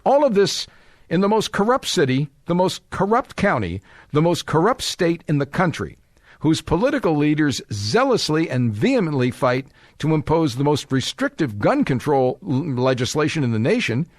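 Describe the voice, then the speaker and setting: A man with a slightly high voice and a very animated, swooping delivery, recorded close and dry. He reads aloud as a talk radio host, leaning on words with sardonic emphasis and adding his own asides. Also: moderate pace, dry recording. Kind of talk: reading a letter aloud with asides.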